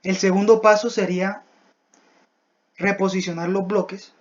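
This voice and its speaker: A young adult student giving a scripted technical presentation in a halting, didactic, step-by-step manner. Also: medium pitch, fairly even intonation. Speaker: student presenting a class project. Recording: dull, lo-fi voice recording.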